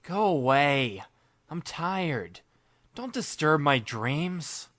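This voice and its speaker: A man narrating a fan audiobook in the first person as a wry, laid-back high school student, deadpan and musing. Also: extremely slow, dry recording, exaggerated voice. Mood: sleepy, irritated. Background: music, plucked guitar.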